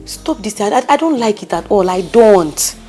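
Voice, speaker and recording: A woman's voice: medium pitch, lively intonation, normal speed, warm tone, soft background music, natural voice. Woman playing a pushy Nigerian best friend. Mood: scolding. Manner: emphatic, insistent, coaxing pep talk. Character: bossy, loyal best friend hyping her sister up. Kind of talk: skit acting.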